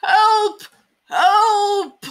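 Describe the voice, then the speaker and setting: A woman's voice: very high voice, extremely slow, a bit bright, voice pushed up, heavily acted. A woman voicing a grumpy old male mouse from a children's storybook, with dramatic, exclaiming cries. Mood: desperate.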